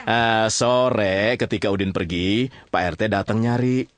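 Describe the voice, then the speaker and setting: A man's voice: on the low side, a little slow, close to an everyday voice. A man playing an easygoing, teasing storyteller in a conversational drama, with a Jakarta accent. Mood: easygoing narrating. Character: easygoing teasing male storyteller among friends. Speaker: storyteller narrator.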